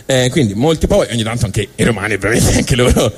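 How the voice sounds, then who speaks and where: A man from Tuscany with a Tuscan accent, performing a comic storytelling monologue in a halting, colloquial way, often interrupting himself. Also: medium pitch, expressive, breathy edge. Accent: Tuscan Italian. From Tuscany, Italy. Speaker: stand-up monologist.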